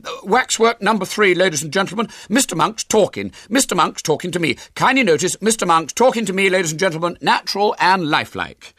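A man acts out an earnest storybook schoolboy, pitched well up and loud, with a husky edge. He declaims with great dignity and stern indignation, his pitch swinging widely. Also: animated, a little fast, few pauses, dry recording, studio quality. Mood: showman bravado. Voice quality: hoarse when shouting.

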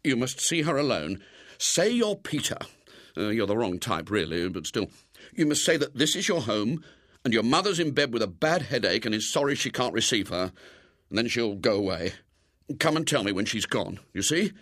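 A man's voice: medium pitch, sing-song, normal speed, fairly bright tone, dry recording, studio quality. A man voicing a nervous, flustered middle-aged man who stammers and pleads. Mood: hurried.

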